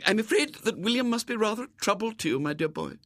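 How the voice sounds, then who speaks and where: A man's voice: sits high, animated, fast, dry recording, studio quality, pitched above the performer's own voice. A man voicing a severe, prim and gullible woman in a storybook, with a proper manner. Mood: gracious, gentle. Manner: grim and sharp, softening to gracious.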